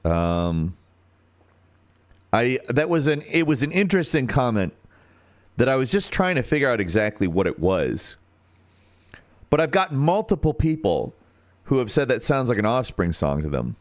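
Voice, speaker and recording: A man with a deep radio-style voice and an American accent, hosting a casual livestream and thinking out loud as he searches for words. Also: very animated, moderate pace, pauses often. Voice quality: turns nasal when singing high.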